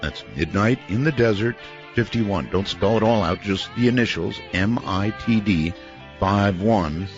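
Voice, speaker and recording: An older man hosting a late-night call-in radio show, relaxed, wry and conversational. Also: fairly low pitch, unhurried, soft background music. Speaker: radio talk show host. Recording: dull lo-fi radio broadcast.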